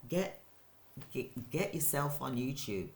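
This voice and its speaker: An older woman, hosting her own channel and giving an energetic, rambling motivational talk to camera, repeating points for emphasis. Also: extremely low pitch, slow pace. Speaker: channel host, business owner. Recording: roomy echo.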